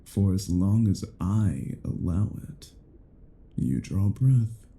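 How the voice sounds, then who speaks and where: A man's voice: deep voice, expressive, slow pace, warm tone, full character voice. A man playing a cruel, domineering vampire in a roleplay audio drama, speaking in a menacing, condescending manner with formal, archaic phrasing. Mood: menacing, disdainful. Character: cruel, domineering male vampire toying with captive werewolf.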